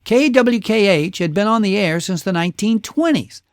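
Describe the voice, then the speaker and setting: A man's voice: high-pitched, animated, normal speed, dry recording, studio quality. A man hosting a radio show, narrating history from a script with casual asides in a folksy, conversational storytelling way.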